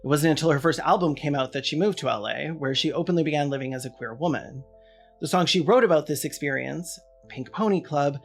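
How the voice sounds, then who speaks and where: A man's voice: fairly high pitch, fairly bright tone. A man hosting a scripted video essay, delivering commentary with sarcastic, wry asides.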